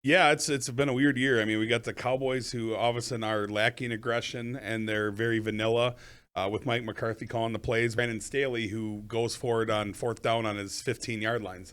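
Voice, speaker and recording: A man co-hosting a sports betting podcast with a voice a bit off from a lingering cough, talking plainly with many pauses. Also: mid-range voice, flat delivery, a bit bright, clear, resonant tone. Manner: plainspoken, hesitant fillers. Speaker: podcast co-host. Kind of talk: casual sports betting chat.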